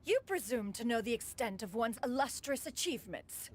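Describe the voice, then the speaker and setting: A woman's voice: fairly high pitch, lively intonation, normal speed. Proud old bird spirit lady, super formal, talks about herself as "one", voice goes up and down a lot. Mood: haughty. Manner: lofty and archaic, refers to herself as "one". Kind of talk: video game voice acting.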